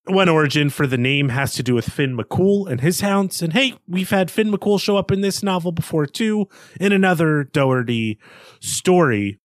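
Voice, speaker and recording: A man's voice: a little high, normal speed, no room sound, clean studio sound. Chatty man discussing a book. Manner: relaxed, chatty, frequent fillers. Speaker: podcast co-host.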